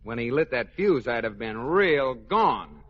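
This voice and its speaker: An actor playing an exasperated but affectionate husband in a mid-century radio comedy, incredulous and animated. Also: male voice, medium pitch, measured pace. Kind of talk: 1940s-50s radio comedy acting. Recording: very noisy, muffled old-time radio recording.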